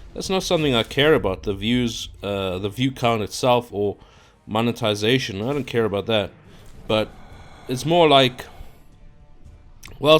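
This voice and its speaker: A man from Africa, talking casually into his own microphone in an unscripted, rambling monologue full of fillers and restarts. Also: mid-range voice. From South Africa. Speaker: YouTuber. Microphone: own microphone at a computer.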